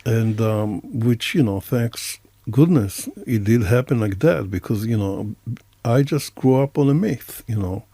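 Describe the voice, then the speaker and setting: Gravelly, mellow man with Israeli accent. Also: mid-range voice, unhurried, dry recording. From Israel and the United States. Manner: hesitant, roundabout phrasing with many hedges. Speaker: interview host, professor. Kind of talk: casual reflective conversation.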